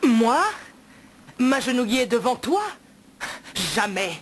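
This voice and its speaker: An actress playing a proud, defiant young princess in a dubbed animated fantasy film, dignified and firm. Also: female voice, a little high, slow pace. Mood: haughty, indignant. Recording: loud music under the dialogue.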